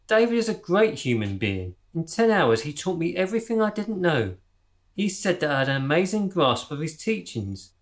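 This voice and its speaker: Man playing an earnest, preachy conspiracy convert, animated pitch. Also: normal speed. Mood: fervent. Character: weary male group leader turned earnest conspiracy zealot. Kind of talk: radio drama acting.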